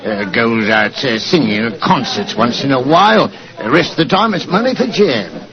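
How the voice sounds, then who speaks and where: An actor playing a jovial, folksy Cockney publican in 1940s radio style, chatty and full of little hesitations. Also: male voice, medium pitch, big pitch swings, normal speed. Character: jovial, chatty Cockney pub landlord, former coachman. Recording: muffled old radio recording, music, crowd behind.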